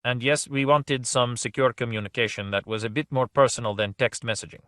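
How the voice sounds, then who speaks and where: A man voicing a calm male agent with a quiet, deliberate way of speaking. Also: mid-range voice, no echo, clean studio sound, natural voice.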